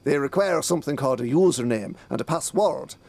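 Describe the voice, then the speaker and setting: A man's voice: fairly high pitch, lively intonation, fairly quick, a little mellow. A man playing a pompous, bewildered middle-aged police detective inspector who is hopeless with technology, in a theatrical comic audio drama style. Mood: pompous, grave.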